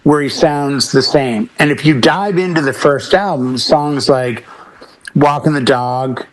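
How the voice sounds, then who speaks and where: An elderly man in casual panel chat, telling anecdotes in an animated way and acting out bits of conversation. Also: medium pitch, a little slow, rough recording. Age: 80s. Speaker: DJ and panel member.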